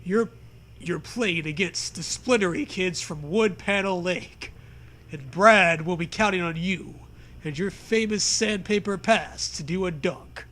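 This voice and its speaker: A man's voice: high-pitched, measured pace, fairly bright tone. A man co-hosting a comedic read-aloud, narrating in a mock-dramatic, jokey way with casual banter. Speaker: co-host reading a comedic story aloud.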